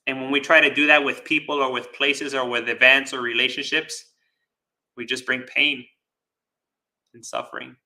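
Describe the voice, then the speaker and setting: A man giving a dharma talk as a Buddhist teacher, speaking deliberately with pauses, repeated phrases and rhetorical check-ins. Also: mid-range voice, level tone, pushed and bright. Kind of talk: dharma talk with live chat questions.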